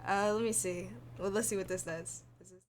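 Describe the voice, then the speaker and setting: A woman's voice: mid-range voice, fairly even intonation, a little fast, slight background noise. A woman streaming games, chatting informally and muttering as she thinks aloud in reaction to what she watches. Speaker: game streamer. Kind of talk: casual stream chat, reacting to a recap video.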